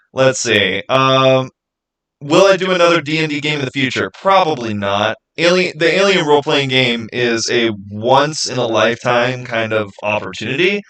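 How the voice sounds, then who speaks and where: A man hosts a casual livestream in a mid-pitched voice. He talks informally and thinks aloud, rambling through his answers to viewers like a game master chatting with his players. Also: a bit bright, low-quality recording.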